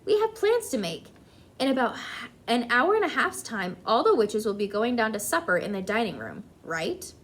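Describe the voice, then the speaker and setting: Lady doing an old storybook granny, firm n shrewd, deliberate, highish with lots of up and down. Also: very animated. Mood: brisk.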